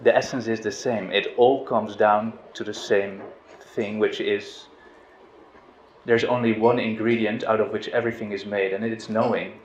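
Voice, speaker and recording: A middle-aged man from the Netherlands speaks in a relaxed, hesitant way, restarting his sentences and laughing softly now and then. His voice sits on the low side. The sound is roomy and somewhat dull, with faint noise underneath. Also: normal speed. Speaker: spiritual teacher. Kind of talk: informal satsang talk. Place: outdoors on a river boat.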